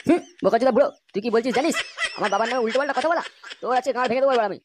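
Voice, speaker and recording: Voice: medium pitch, very fast, lightly acted. A performer voicing a hot-headed, crude young male layabout in a comedy cartoon, blustering and swearing. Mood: angry blustering. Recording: noisy background hiss.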